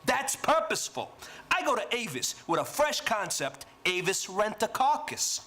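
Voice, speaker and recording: A man's voice: sits high, sing-song, moderate pace, sharp tone, slight background noise, heavily acted. An actor playing a self-pitying male vulture who talks like a wiseguy, recorded live on stage.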